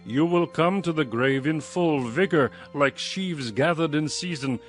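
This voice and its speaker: An actor playing an earnest, pious counselor who admonishes solemnly and with assurance in a dramatized scripture reading. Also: male voice, fairly high pitch, unhurried, lightly acted. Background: music.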